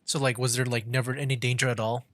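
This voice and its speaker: A woman with an extremely low voice chats casually over a game she is streaming. She speaks close to the mic in an informal way. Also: level tone, fast talker, background noise. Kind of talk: casual livestream commentary.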